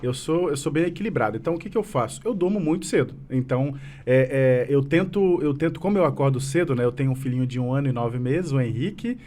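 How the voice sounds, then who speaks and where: A man's voice: medium pitch, animated, quick pace, few pauses, darkish tone. A man in his thirties from Minas Gerais with a fading mineiro accent, answering interview questions earnestly and rambling with many restarts. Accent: mineiro Brazilian Portuguese, fading. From Minas Gerais, Brazil. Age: 30s. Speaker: business association president, guest. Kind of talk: casual interview conversation.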